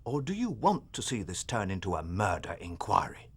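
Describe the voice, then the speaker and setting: An actor with an English accent playing a stern, dogged Victorian police inspector in a radio drama, firm and impatient. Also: male voice, low-pitched, wildly swooping pitch, normal speed, slight background noise, heavily acted. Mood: politely insistent. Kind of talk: period radio drama dialogue.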